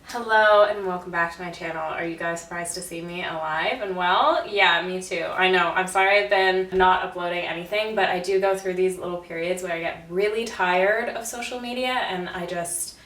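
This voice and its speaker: A woman's voice: fairly low pitch, normal speed, few pauses, a bit bright. Young woman vlogger, stuffed up nose, chatty, kinda far from the mic in an echoey bathroom. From Canada. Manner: chatty and self-deprecating, laughs at herself. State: stuffed up and congested. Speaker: beauty vlogger. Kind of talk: casual chat to camera. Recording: roomy, slightly distant audio.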